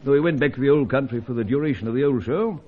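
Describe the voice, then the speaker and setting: An actor playing a jovial senior British knight in a radio serial, avuncular and old-fashioned, with a British accent. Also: male voice, medium pitch, rapid-fire, natural voice. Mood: confiding. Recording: muffled radio serial sound.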